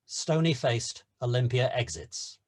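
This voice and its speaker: A man's voice: mid-range voice, measured pace. A man narrating stage directions in a scripted screenplay table read.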